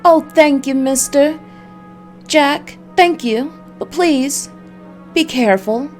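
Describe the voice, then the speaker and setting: A woman voicing a frightened young woman pleading with a detective in a noir visual novel, halting and repeating herself. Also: sits high, darkish tone, soft background music, voice pushed up. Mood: grateful, anxious.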